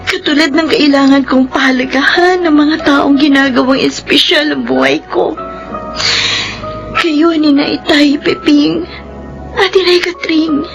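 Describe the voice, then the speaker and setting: A woman's voice: high-pitched, fairly even intonation, a little slow, gravelly, music in the background. An actress playing an earnest, grateful young woman in a Filipino radio drama, emotional and heartfelt. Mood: tearful, hopeful.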